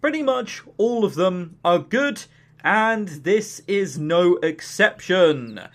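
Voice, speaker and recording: An informal video reviewer with a very lively, swooping delivery. The talk is blunt and opinionated, with swearing and comic overstatement, and runs like partly scripted commentary. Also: mid-range voice, slow pace. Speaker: visual novel reviewer and channel host.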